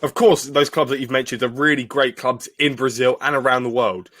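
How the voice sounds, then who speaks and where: A man's voice: medium pitch, steady tone, fairly quick, a bit bright. A man hosting a podcast, introducing his guest and asking questions in an upbeat way. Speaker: podcast host. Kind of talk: interview questions.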